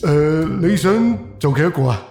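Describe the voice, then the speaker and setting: A man playing a casual young puppet workshop owner in a Cantonese audio drama, hesitant and halting in his speech. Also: fairly high pitch, expressive, vocal fry. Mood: astonished. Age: young adult. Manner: hesitant, halting, with stammers and interjections. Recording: echoey room under loud music.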